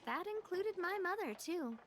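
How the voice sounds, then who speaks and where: A woman's voice: extremely high pitch, expressive, normal speed, a bit bright, slight background noise. An actress playing a confident young heiress who leads her late father's organization, talking frankly and easily in a game cutscene. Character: confident young woman, heiress leading a family group. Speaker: leader of a family organization. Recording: game audio with faint music.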